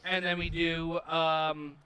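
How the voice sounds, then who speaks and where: A man's voice: fairly high pitch, little pitch movement, slow, pushed and bright, low-quality recording. A man casually chatting while playing a wrestling video game, rambling and self-assured with lots of filler words. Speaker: gaming channel co-host. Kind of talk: casual chat over gameplay. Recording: game crowd noise underneath. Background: arena crowd from the video game.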